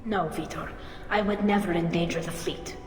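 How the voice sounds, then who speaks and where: A woman voicing a young female alien in a video game, earnest and measured, anxious yet resolute. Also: fairly low pitch, steady tone, faint music behind, lightly acted. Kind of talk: video game dialogue acting. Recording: echoey hall, faint ambient noise.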